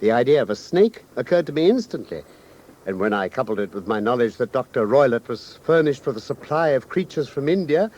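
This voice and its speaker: An old, faintly noisy radio drama recording of a British gentleman detective, played by a male actor. He is shrewd and authoritative, articulating each point precisely. His medium-pitched voice moves through wide, animated swings. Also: fairly quick, muffled recording, lightly acted. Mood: calm, explanatory. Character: shrewd, commanding gentleman detective, methodical and self-assured.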